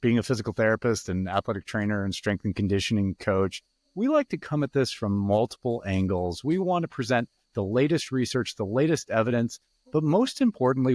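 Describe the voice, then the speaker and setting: Male podcast host, friendly, fairly low voice, dry close mic. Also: darkish tone, no room sound. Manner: friendly, with frequent "uh" fillers. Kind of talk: podcast interview hosting.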